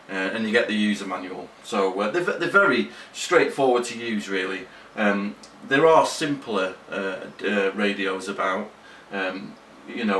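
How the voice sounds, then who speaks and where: Guy with a low voice doing a casual gadget review, keen but kinda halting, explains as he goes. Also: a bit bright, slight background noise. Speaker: radio gear reviewer.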